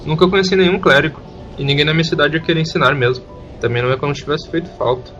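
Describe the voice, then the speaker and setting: Man acting out a high-pitched, curious orphan boy. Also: racing, a bit bright, lo-fi sound, soft background music, natural voice. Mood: defensive. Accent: Brazilian. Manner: frank, inquisitive. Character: curious, earnest young orphan boy from a small town. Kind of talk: audio drama acting.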